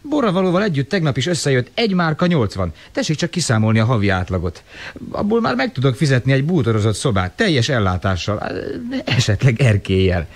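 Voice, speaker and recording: A man's voice: medium pitch, sing-song. An actor playing a plucky, polite young orphan boy, earnest and spirited, in a radio drama. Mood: confident. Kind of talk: 1980 radio drama acting.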